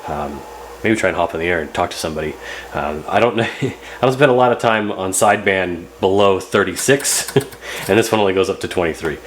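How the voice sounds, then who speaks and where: Low-voiced man over clear background hum. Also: fairly quick. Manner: thinking aloud, loosely rambling. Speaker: host of a radio repair channel. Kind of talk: casual hands-on repair narration.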